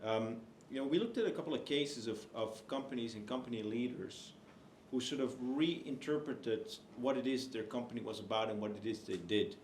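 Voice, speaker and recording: A man's voice: medium pitch, moderate pace, darkish tone. A man speaking as a co-author on a panel, hesitant, with many fillers and restarts. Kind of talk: panel discussion. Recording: echoey hall, faint noise.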